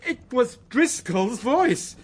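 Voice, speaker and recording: A man's voice: very high voice, animated, slow pace, heavily acted. An actor in a radio drama playing an indignant, frightened man who defends himself emphatically under police questioning.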